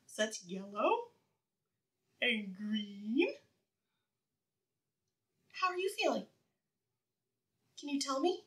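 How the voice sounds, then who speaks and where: A woman's voice: on the high side, far from the mic. A woman teaching preschoolers in a read-aloud style, speaking simply and encouragingly, with repeated phrases and questions to the children. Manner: animated, encouraging, asks questions and pauses for answers.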